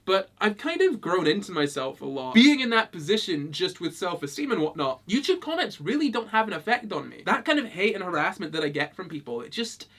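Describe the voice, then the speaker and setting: A man's voice: high-pitched, very animated, brisk, hardly any pauses, fairly bright tone. A young man with a Scottish accent from Britain, reading hate comments aloud and responding in a dry, amused, casual way. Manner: dry, amused, laughs often. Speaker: YouTuber.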